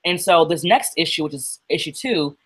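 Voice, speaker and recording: A woman co-hosting a casual livestream chat about comics. She is feeling unwell and talks haltingly, with fillers and restarts. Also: deep voice, expressive, husky. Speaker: co-host.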